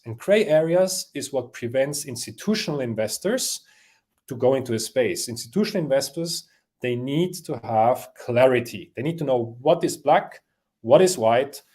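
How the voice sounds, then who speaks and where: A man's voice: mid-range voice, a little mellow, husky. Hesitant Swiss man. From Switzerland. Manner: hesitant, many fillers and restarts. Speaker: interview guest. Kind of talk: conversational interview answers.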